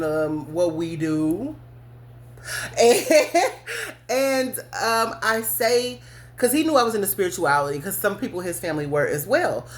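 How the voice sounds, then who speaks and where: A woman hosting a podcast, telling a personal story to her listeners in a candid, blunt way, cursing and laughing often. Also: medium pitch. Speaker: podcast host.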